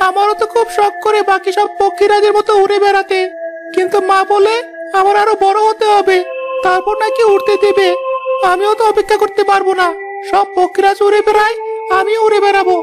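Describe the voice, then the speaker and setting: A woman's voice: very high-pitched, flat delivery, fairly quick. A woman voicing a small, naive young flying horse in a plaintive, childlike way for a fairy-tale cartoon. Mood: wistful, eager.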